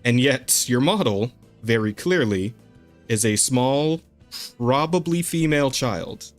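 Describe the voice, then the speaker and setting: A man's voice: medium pitch, lively intonation, takes it slowly, faint music behind. A young man hosting a casual podcast over a voice call, rambling and stumbling over repeated words, often breaking into laughter. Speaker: podcast host. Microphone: Discord call.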